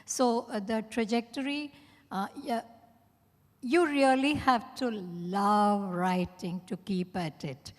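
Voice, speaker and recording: A woman's voice: mid-range voice, fairly even intonation, slow pace. A woman answering as an author on a panel, unscripted, in a candid, plain-spoken, conversational way. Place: large indoor event hall at a hotel. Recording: echoey event hall, long reverb.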